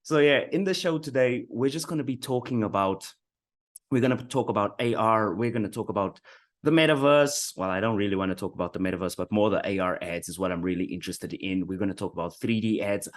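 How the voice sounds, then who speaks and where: A man's voice: fairly low pitch, fast. A man hosting a marketing interview show, upbeat, hyping up his guest and often stumbling over his words. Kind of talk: casual interview chat.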